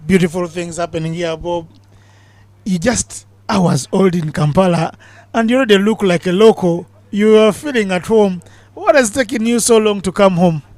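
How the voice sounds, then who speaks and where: A man commentating on cricket live from a commentary box, bantering and joking between balls and getting excited on big hits. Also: high-pitched, normal speed, no echo. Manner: chatty, jokey banter with big excited calls.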